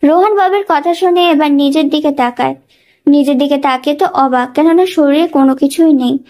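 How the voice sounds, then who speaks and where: Female story narrator, high voice, scripted dramatic storytelling. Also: moderate pace, a little mellow.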